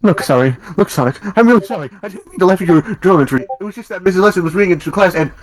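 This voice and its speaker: An earnest young fox boy from a cartoon fan audio drama, acted by a man. He rambles on supportively and apologetically in a slightly high male voice. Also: lively intonation, rapid-fire. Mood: apologetic.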